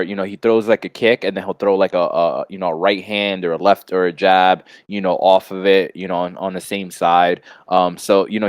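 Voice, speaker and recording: Male podcast co-host, low register, casual rambling sports analysis, close dry sound. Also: dry recording. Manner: rambling, frequent "you know" asides. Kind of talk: casual fight analysis and betting commentary.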